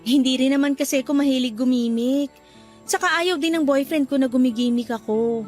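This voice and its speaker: Actress as soft-mannered young Filipina girlfriend, very high voice, radio drama, light music behind. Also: fairly quick, natural voice. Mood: shy, friendly. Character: quiet, anxious young woman office worker, gentle girlfriend.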